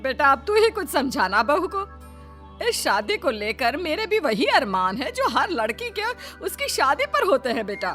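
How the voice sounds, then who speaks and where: Woman voicing bright, defiant elderly mother-in-law. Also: high-pitched, sing-song, measured pace, soft background music, full character voice. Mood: pleading, defiant. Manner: emphatic, pleading, emotional. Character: elderly widowed mother-in-law, defiant, wounded, bride again. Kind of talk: Hindi moral story dramatization.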